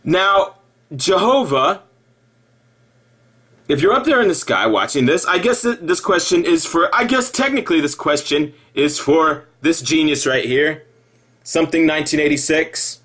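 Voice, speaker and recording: A man delivering an improvised sarcastic rant in an exasperated, mocking way, halting and repeating himself, with profanity. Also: a little high, animated, a bit bright, slightly rough. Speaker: video commentator.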